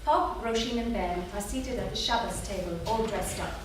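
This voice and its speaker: A woman's voice: medium pitch, normal speed. Echoey woman narrator at a staged reading. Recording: noisy, very echoey theatre, distant mic.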